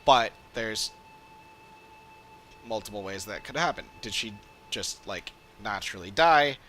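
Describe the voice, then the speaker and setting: A man's voice: fairly low pitch, lively intonation, measured pace, a bit bright, slight background noise. A man doing casual livestream commentary as he plays a game, musing and rambling as he thinks aloud. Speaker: game streamer.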